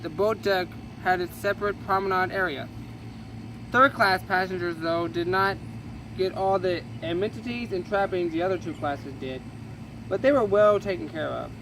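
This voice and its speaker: A man with a high-pitched voice reads from a history book, tripping over words and correcting himself as he goes. His delivery is casual and unpolished. Street and car noise can be clearly heard behind him. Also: normal speed. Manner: casual, stumbling reading with self-corrections. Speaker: reader. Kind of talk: reading a history book aloud with asides.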